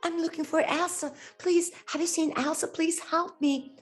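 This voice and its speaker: A woman's voice: extremely high pitch, fairly even intonation, normal speed, faint music behind, voice pushed up. A woman voicing an anxious, brave young fairy-tale princess, urgent and imploring. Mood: pleading, anxious. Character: anxious, pleading, brave young princess searching for her sister.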